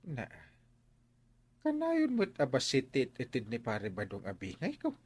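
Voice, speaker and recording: An actor with an Ilocano accent playing an aggrieved, sputtering village captain in a radio comedy drama. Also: male voice, medium pitch, huge pitch swings, unhurried, a little mellow, breathy edge, heavily acted. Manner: sputtering, exclamatory. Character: aggrieved, excitable male village captain who owns a tricycle.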